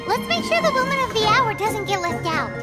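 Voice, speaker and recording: A woman's voice: very high voice, big pitch swings, fairly quick. A woman voicing a chirpy, cheeky little fairy companion in a video game, bouncy and teasing, over game music. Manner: bouncy, teasing, exclaims often.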